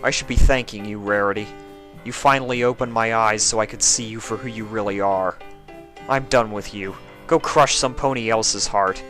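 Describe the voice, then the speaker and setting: A man reading a fanfic aloud and voicing a heartbroken, bitter young male pony in a loud, angry way. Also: on the low side, steady tone, normal speed, soft background music.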